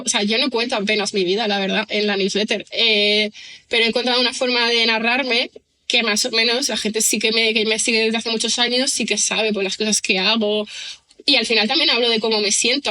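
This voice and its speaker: Bright-voiced woman musing aloud. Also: fairly high pitch, level tone, fairly quick. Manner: thoughtful, rambling, frequent tag questions and fillers. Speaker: guest writer and screenwriter. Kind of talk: conversational podcast interview.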